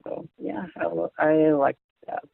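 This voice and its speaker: Young man, Korean accent, non-native English, slow and hesitant, dry tinny line. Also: on the high side, creaky, breathy edge, no echo. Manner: halting, searching for words, frequent restarts. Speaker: interviewee. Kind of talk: casual conversation in English. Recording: tinny phone-like audio.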